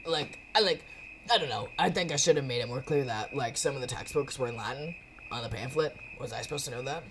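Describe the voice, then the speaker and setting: A woman voicing a laid-back, slangy cat schemer who rambles eagerly in halting bursts, as in a game. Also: rumbling low, background noise, voice pushed down. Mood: rambling, scheming. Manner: halting, stoner-like slang delivery.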